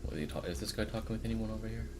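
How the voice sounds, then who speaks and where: A man's voice: fairly low pitch, very fast, heavy background noise. A man doing live playthrough commentary as a streamer, reading game text aloud with casual, profane asides. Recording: game music behind the voice.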